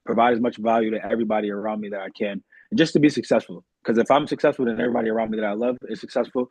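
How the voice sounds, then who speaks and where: A man's voice: fairly low pitch, steady tone, very fast, darkish tone, breathy edge, no echo, rough recording. A young man from Massachusetts talking earnestly and politely as a guest in a casual interview. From Massachusetts, United States. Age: young adult.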